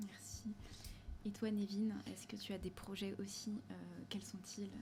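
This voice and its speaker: A woman hosting and moderating a panel talk in a café, asking questions in a halting, hesitant way. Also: medium pitch, flat delivery, darkish tone, a little hiss or hum. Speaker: host and moderator.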